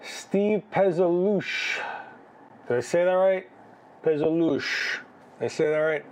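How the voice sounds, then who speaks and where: A man's voice: a little high, takes it slowly. A man hosting a live photo critique, chatting casually, thinking aloud with restarts and asking "right?", his voice a bit worn. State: losing his voice. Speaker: photography livestream host.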